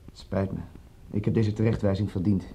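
An actor in a radio drama playing a shady, probing gentleman who is formal, courteous and insinuating. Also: on the low side, level tone, a little fast, creaky, slightly rough. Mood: courteous, sly. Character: smooth-mannered, probing, shady gentleman with information to sell. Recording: muffled, dull old radio recording.

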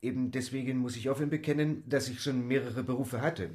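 A man's voice: medium pitch, steady tone, fairly quick, darkish tone, lightly acted. A male actor plays an eager, worldly French valet in a radio play. His mid-range voice has a French accent and a polite, earnest, talkative manner. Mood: deferential, eager. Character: eager, deferential, worldly French manservant seeking a position. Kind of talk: radio drama acting.

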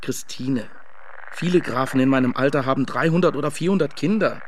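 A man's voice: medium pitch, fairly quick, a little hiss or hum, close to an everyday voice. Intense lovesick nobleman, played by a man. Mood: defensive. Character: lovesick, proud young nobleman suitor to a queen. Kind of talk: German radio play acting.

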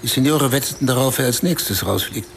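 A man's voice: mid-range voice, a little fast, creaky, slight background noise, lightly acted. An actor in a radio play voicing a bitter, self-pitying man who recounts a wild night in a brooding, confessional way.

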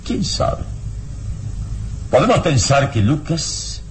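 An actor playing a calm, wise adventurer hero with a solemn, deliberate delivery in a radio drama. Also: male voice, fairly high pitch, a little hiss or hum. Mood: calm, pondering. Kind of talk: dramatic radio narration and acting. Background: dramatic music.

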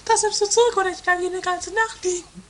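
Woman playing a vain lazy sister, extremely high voice, whining drawl, comedy radio play, slight hiss. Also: steady tone, fast talker, a bit bright. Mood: smug. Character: vain, lazy, dramatic, childish castle sister. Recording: faintly hissy 1980s home recording.

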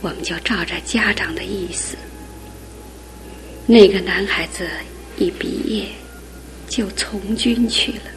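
An actress playing a middle-aged aunt in a radio drama, speaking softly and often in a hushed whisper. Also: female voice, mid-range voice, unhurried, long pauses, slight background noise, close to an everyday voice. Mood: sorrowful. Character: aging aunt, gentle, grieving an old love.

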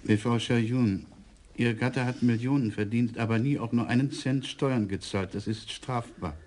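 An actor playing a dogged, officious tax official in a radio crime play. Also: male voice, mid-range voice, steady tone, normal speed, a little mellow, a little hiss or hum, close to an everyday voice. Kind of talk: radio drama acting.

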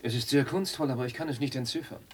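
A man plays a reserved visitor in a radio drama, politely doubtful and brief. His voice sits at medium pitch, with faint noise in the recording. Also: fast, darkish tone, close to an everyday voice. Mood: reserved, uneasy. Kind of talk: German radio play acting.

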